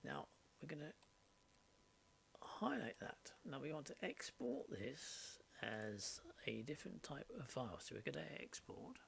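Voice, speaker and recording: A man narrating an informal software tutorial, explaining step by step in short, halting phrases. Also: medium pitch, creaky. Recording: steady background noise, mouse clicks. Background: faint noise and clicking.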